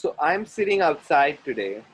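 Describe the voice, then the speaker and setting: A man from India with an Indian accent, facilitating an online group call from outdoors near the ocean. He speaks in deliberate, chant-like repetitions, guiding listeners through clearing phrases. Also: on the high side, very animated, low-quality recording.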